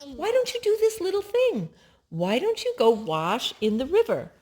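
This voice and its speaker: Woman acting loyal soldier men, coaxing, questioning, pitch swooping upward, storybook style. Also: wildly swooping pitch, moderate pace, background noise. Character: loyal soldiers reasoning gently with their master, men.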